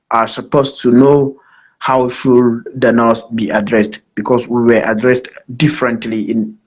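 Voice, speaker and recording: Man, Nigerian accent, medium pitch, formal explanatory delivery, emphatic repetition, guest presenter, dull lo-fi live call sound. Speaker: guest speaker, nurse. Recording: dull lo-fi online call audio.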